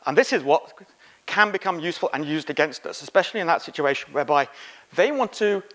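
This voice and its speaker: A middle-aged man speaking as a conference presenter, giving an informal, halting technical lecture. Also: on the high side, lively intonation, a bit bright. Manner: informal, halting, quizzing the audience. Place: conference room, on stage.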